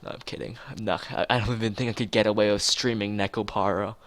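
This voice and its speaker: A man's voice: lowish voice, fairly even intonation, fast, a bit bright. A man streaming a visual novel, reading the narration aloud and chatting casually with joking asides to viewers.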